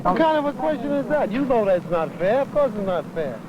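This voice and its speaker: A man arguing loudly and indignantly in a street interview on old film. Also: very high voice, very animated, a little fast. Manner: indignant, loud, argumentative. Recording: muffled noisy outdoor old film sound.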